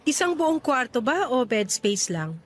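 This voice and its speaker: A woman's voice: high voice, sing-song, measured pace. A woman acting a put-upon, plain-spoken grown daughter in a radio drama. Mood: businesslike. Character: put-upon, frustrated single daughter managing mother's rentals.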